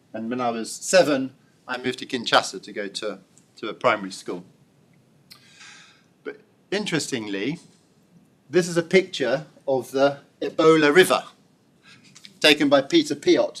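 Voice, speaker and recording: A middle-aged man giving a thoughtful public lecture, pausing often with fillers and restarts. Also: mid-range voice, a bit bright. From born and raised in Congo. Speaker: professor giving an inaugural lecture. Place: lecture hall.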